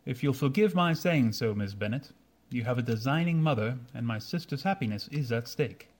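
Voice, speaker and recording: A man playing a proud, haughty young gentleman in a radio drama, speaking stiffly and courteously. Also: mid-range voice, expressive, moderate pace, natural voice. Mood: cool, guarded.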